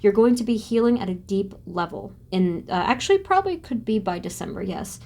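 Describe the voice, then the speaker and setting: A woman reading tarot cards for her viewers, talking softly and haltingly with many pauses and restarts. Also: mid-range voice, animated, gravelly. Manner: soft, halting, with frequent ums and restarts. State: voice briefly going hoarse and quiet. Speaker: tarot reader. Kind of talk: pick-a-card tarot reading.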